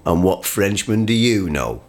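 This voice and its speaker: A man playing a grumpy, crude working-class father in a radio comedy sketch, gruff, sarcastic and blustering. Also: low voice, sing-song, a little slow. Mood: ranting.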